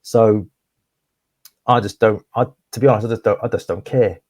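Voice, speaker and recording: Guest: young man from Wales, low-pitched, dry close sound, candid and rambling. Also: steady tone, normal speed, darkish tone, no echo. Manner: candid, rambling, repeats words. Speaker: guest, property investor. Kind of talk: casual interview conversation.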